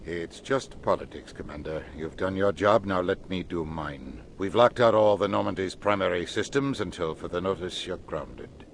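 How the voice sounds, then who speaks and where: Low-voiced condescending politician. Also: male voice, moderate pace, gravelly, few pauses, a little hiss or hum. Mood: stern, smug. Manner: formal, condescending political delivery. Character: curt, self-serving middle-aged male human ambassador. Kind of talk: dramatic video game acting.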